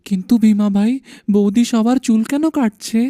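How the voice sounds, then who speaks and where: An actor playing a bold, loyal village man in an animated folk tale, with a conspiratorial, urging manner. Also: mid-range voice, moderate pace, no echo, studio quality, natural voice. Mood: urgent.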